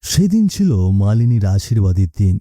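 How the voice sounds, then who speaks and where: A man's voice: fairly low pitch, very animated, moderate pace, mellow, dry recording, clean studio sound. A man narrating a scripted horror story as a storyteller.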